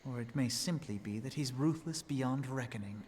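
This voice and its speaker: A man acts a knowing observer of intrigue for a video game. His medium-pitched voice is wry and measured. Faint noise lies behind it. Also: darkish tone, close to an everyday voice. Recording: light background noise.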